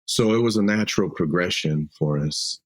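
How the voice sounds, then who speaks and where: A man's voice: low voice, normal speed. Mexican-born man, chatty business owner. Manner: loose and talkative, many fillers and restarts. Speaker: roofing company CEO, podcast guest. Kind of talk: casual interview answers.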